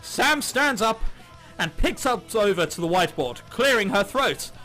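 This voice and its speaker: A man's voice: high-pitched, sing-song, unhurried, pushed and bright, faint music behind. A man hosting a gaming channel, reading a visual novel aloud in a theatrical, jokey way with ad-libbed commentary.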